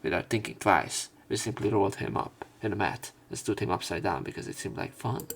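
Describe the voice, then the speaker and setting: A man's voice: lowish voice, fairly quick, creaky, slightly rough. A man reading visual novel narration aloud in a subdued way, as a let's player.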